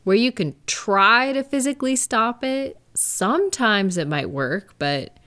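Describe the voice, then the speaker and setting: A woman playing a caring, reassuring live-in partner in an intimate audio roleplay, speaking gently and conversationally with thoughtful, hesitant pauses. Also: on the low side. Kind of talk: intimate one-sided comfort roleplay, conversational acting.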